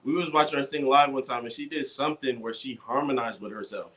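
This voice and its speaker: A man's voice: mid-range voice, quick pace, fairly bright tone. A man guesting on an interview, laid-back and slangy, rambling loosely as he talks. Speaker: guest singer. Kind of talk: casual interview chat.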